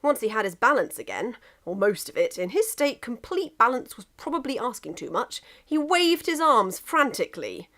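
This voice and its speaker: A woman narrating a comic piece of fan fiction in an expressive, emphatic storytelling manner. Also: a little high, normal speed, hardly any pauses.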